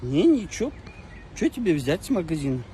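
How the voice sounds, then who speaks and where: A man's voice: a little high, very animated, brisk, mellow, a little hiss or hum. A man in his thirties acting in a comedy sketch, pushy and nagging. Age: 30s.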